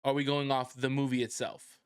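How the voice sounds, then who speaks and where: A man's voice: mid-range voice, level tone, a little fast, smooth, no room sound, studio quality. A young man whose first language is Spanish, co-hosting a podcast in casual, boastful banter. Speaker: podcast co-host. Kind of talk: casual chat.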